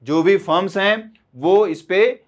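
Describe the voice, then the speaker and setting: A man's voice: high-pitched, slow. A man teaching as an exam coach, explaining step by step to students and often repeating key points with short check-in tags.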